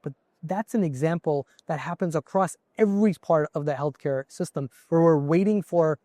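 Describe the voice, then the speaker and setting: A man's voice: fairly high pitch, normal speed, dark tone, no room sound, clean studio sound. A man from India, a company CEO, answering interview questions in an earnest, explanatory way with examples. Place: conference floor.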